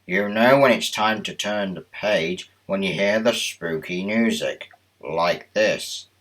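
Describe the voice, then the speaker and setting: A man reading a children's story aloud as a read-along, in a deliberate, expressive storytelling manner. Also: low-pitched, very noisy. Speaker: storybook narrator. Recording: music bed behind the voice. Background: music.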